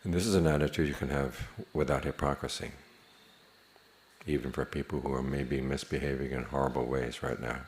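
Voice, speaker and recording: A man teaching a guided meditation in a measured, plain-spoken manner, leaving long pauses between phrases. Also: very deep voice, steady tone.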